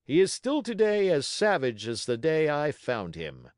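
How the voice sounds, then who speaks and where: A man's voice: fairly high pitch, huge pitch swings, measured pace, no room sound, studio quality, pitched above the performer's own voice. A man voicing a pompous, domineering circus ringmaster in a storybook, with a grandiose showman's way of declaiming. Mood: pompous, boastful.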